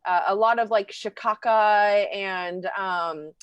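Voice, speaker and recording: A woman's voice: mid-range voice, fairly even intonation, takes it slowly, dry recording, lo-fi sound, natural voice. A woman playing a bubbly, clueless small-town actress in an improvised game show parody, rambling and stammering. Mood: earnest, rambling. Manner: flustered, rambling with stammers and breathy exclamations.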